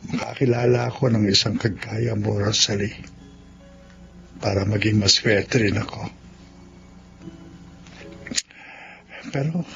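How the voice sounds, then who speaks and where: A man's voice: medium pitch, creaky, natural voice. A man with a Filipino accent acting an earnest, loyal young best friend in a radio drama. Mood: wistful musing. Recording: dull lo-fi radio, faint music behind.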